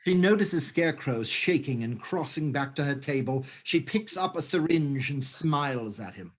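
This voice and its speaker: A man's voice: on the high side, expressive, normal speed. A man reading stage directions aloud in a livestream script reading, matter-of-fact.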